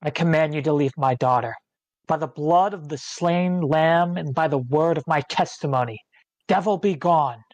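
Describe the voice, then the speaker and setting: A man's voice: on the high side, moderate pace, darkish tone, dry recording, natural voice. A man reading the part of a haunted, devout father in a supernatural screenplay, solemn and commanding. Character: haunted, devout father confronting a demonic force. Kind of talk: screenplay table read.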